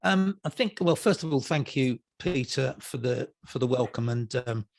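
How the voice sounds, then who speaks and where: An older man speaks as an interview guest, his voice creaky with plenty of fry. He explains things in a reflective, conversational way, taking his time over each thought. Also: mid-range voice, expressive, normal speed.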